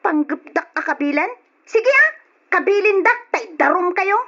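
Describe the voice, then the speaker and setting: A headstrong young woman of twenty pleads and defies her father for love, as an actress plays her. Her voice is high. It is heard through a dull, lo-fi old radio recording. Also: expressive, moderate pace, bright, forceful tone, heavily acted. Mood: defiant. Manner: pleading, defiant. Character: twenty-year-old daughter, headstrong and lovestruck. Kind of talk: old radio drama acting.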